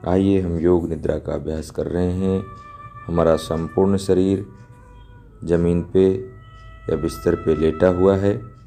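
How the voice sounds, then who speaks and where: A man leading a guided relaxation meditation as a yoga instructor, giving calm, measured instructions in short, spaced phrases. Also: deep voice, gravelly. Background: instrumental music.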